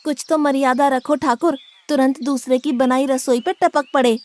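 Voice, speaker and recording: Woman doing a cheeky naive disciple boy, greedy for food, high voice, blunt cartoon talk. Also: fairly even intonation, moderate pace, a little mellow, lightly acted. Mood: puzzled, indignant. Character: naive, gluttonous, blunt young male ashram disciple. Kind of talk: animated moral story dialogue.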